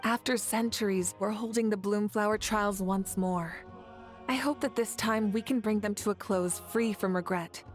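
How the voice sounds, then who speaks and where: A woman voicing a composed, noble leader deity in a video game, measured and warmly authoritative. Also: mid-range voice, faint music behind, natural voice. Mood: resolute.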